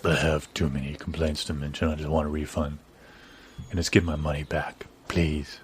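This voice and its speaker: A man playing a dogged, exasperated customer in improvised audio comedy, deadpan and insistent. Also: a bass voice, normal speed. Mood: dry, insistent. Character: dogged, exasperated male customer demanding a refund. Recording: clear background ambience noise.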